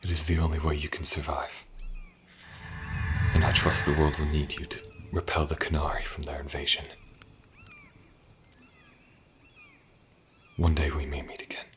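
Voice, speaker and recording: A man playing a sorrowful, duty-bound immortal mage in a fantasy audio roleplay, solemn, measured and tender, in a breathy, half-whispered voice. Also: very deep voice, flat delivery. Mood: resigned, loving. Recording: music behind the voice.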